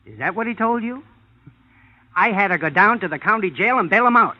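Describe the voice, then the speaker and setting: A man's voice: sits high, expressive, a little fast. A man with an American accent playing a blunt, exasperated showbiz agent in an old-time radio drama, slangy and complaining. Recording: muffled vintage radio recording.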